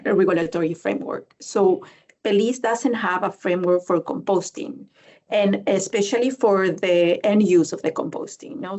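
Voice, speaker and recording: A woman, speaking English as an in a slightly low voice. She answers a panel question on a video call, often pausing to search for words and adding a questioning "no?" to her points. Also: darkish tone, lo-fi sound. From Ecuador. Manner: searching for words, many fillers, tag "no?". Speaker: panelist, development bank specialist. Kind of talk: conversational expert answer.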